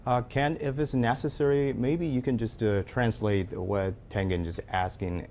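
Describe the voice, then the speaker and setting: A man's voice: medium pitch, fairly even intonation, normal speed, a little mellow, slight background noise. A man hosting a live news discussion who talks with frequent fillers and repeated words as he puts questions to guests. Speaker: host.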